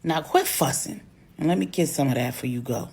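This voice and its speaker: A woman's voice: low voice, a little fast, a little mellow, voice pushed down, exaggerated voice. A woman voicing a proud, stubborn streetwise man in a story, slangy and firm. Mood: firm, teasing. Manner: slangy, firm, smooth-talking.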